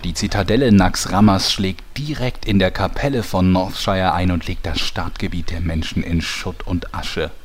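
Deep-voiced male story narrator. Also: fairly even intonation, normal speed, hardly any pauses. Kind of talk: scripted dramatic story narration.

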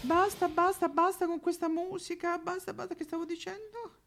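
A batty, snobbish rich housewife for a satirical monologue. The voice is very high and creaky, with affected swoons and whimpers as she rambles and loses her thread. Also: little pitch movement, normal speed, fairly bright tone, faint music behind, exaggerated voice. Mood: flustered.

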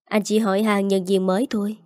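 A woman's voice: medium pitch, little pitch movement, a little fast, a little mellow, no echo, studio quality, lightly acted. A woman voicing a sleazy middle-aged male office boss who asks prying questions in an audio story.

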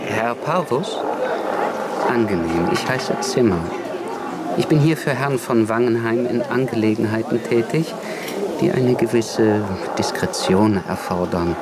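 An actor playing a formally polite, discreet diplomatic agent in a German radio play, measured in manner. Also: male voice, medium pitch, a loud crowd, heavy background noise, natural voice. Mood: courteous, discreet. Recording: loud music under the voice.

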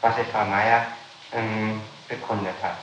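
A man working as a police interrogator, speaking in halting, formal officialese as he questions and reads out statements, on an old 1960s tape. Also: on the low side, little pitch movement, very echoey, background noise. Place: reverberant room indoors.

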